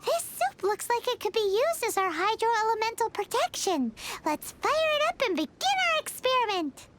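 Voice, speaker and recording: A woman voicing a tiny, cheerful, excitable childlike fairy companion in a video game, with a squeaky, chirpy delivery. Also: moderate pace, noisy background. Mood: eager, instructing. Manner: chirpy, exclamatory, refers to self in third person. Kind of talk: video game character voice acting.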